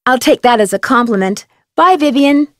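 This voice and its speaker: A woman's voice: high voice, expressive, moderate pace, no room sound, clean studio sound. An actress playing a plucky, polite young female detective in a video game. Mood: polite, wry. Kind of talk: video game character dialogue.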